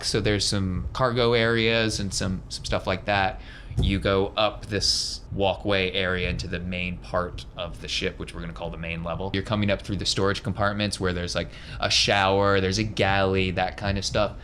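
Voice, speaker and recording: Low-voiced man narrating a tabletop game. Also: fairly even intonation, moderate pace, a bit bright, slight background noise. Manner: descriptive, easygoing, conversational asides. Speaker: game master. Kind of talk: narrating a tabletop space adventure, casual.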